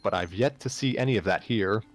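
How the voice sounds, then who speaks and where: Guy doing a formal kindly male TA for a story, lowish voice, earnest, music in the back. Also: lightly acted. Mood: pleased, encouraging. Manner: formal, measured, encouraging. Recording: music playing behind the voice.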